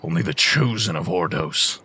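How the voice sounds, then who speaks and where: Man playing a low-voiced noble fantasy leader. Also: lively intonation, normal speed, pushed and bright, a little hiss or hum. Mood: concerned. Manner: formal, stately. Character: noble, formal male leader of a divine army. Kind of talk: fantasy audio drama acting.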